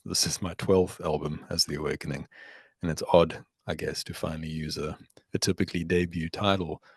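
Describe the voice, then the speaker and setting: A middle-aged man speaks in a very low, unhurried voice, weighing his words as he talks about his craft. The sound is dry and close. He comes across as thoughtful and gracious. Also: husky, no room sound. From South Africa. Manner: reflective, measured, warmly thankful. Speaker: musician guest. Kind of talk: casual interview chat.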